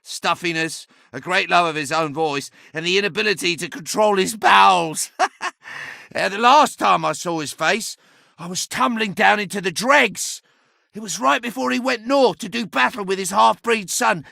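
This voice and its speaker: An actor voicing an ancient, talkative magical staff in a video game, sardonic, pompous and bawdy, with a theatrical delivery. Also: male voice, sits high, moderate pace, creaky, sharp tone, no room sound, studio quality, heavily acted. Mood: sardonic, gossipy. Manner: sardonic, pompous, chatty, given to chuckling. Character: impish, talkative, bawdy ancient sentient staff, boastful adviser.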